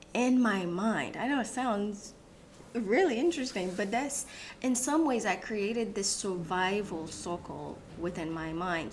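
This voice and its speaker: A woman's voice: mid-range voice, slight background noise. A woman born in Haiti and raised in the United States, hosting a reflective solo talk, thinking aloud with many restarts and fillers. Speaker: host.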